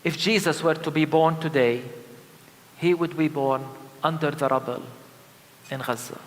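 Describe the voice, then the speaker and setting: A man from Palestine preaching a sermon of lament as a pastor, solemn and emphatic, with deliberate repeated phrases. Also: fairly high pitch. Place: large indoor church. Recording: reverberant church hall sound.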